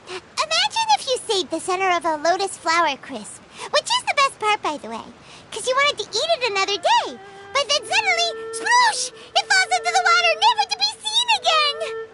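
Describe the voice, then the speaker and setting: A woman voicing a tiny, excitable, childlike fairy companion in a video game, bubbly and dramatic, talking about herself in third person. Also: very high voice.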